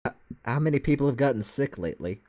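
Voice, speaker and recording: A woman's voice: rumbling low, lively intonation, quick pace, mellow. A woman giving casual reaction commentary in a dry, wry manner. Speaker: reaction show co-host.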